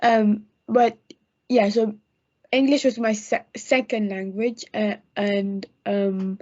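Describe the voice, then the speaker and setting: A teenage student whose first language is Urdu, speaking haltingly and searching for words on a video call. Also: female voice, mid-range voice, flat delivery, mellow. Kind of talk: casual video-call discussion. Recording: dull lo-fi laptop audio.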